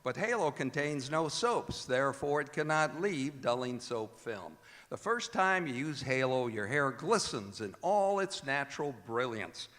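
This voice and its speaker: A man's voice: mid-range voice, lively intonation, measured pace, a bit bright. American male radio announcer in an echoey hall. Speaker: announcer and director of a radio theater. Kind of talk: old-time radio announcing, reading credits and sponsor spots. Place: performing arts center stage. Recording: echoey hall, long reverb, music bleeding in. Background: music.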